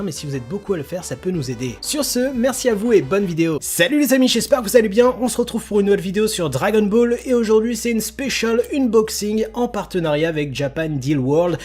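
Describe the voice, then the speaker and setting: Higher-voiced Frenchman, slightly rough, over music. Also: animated, quick pace, hardly any pauses, darkish tone. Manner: slangy, jokey asides. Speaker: host of a figurine unboxing video. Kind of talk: casual enthusiastic product presentation.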